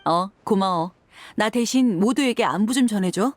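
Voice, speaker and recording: A woman's voice: medium pitch, moderate pace, dry recording, natural voice. A woman playing a tough, frank and confident mercenary in a video game, with a blunt, assertive way of talking. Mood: calm, alert.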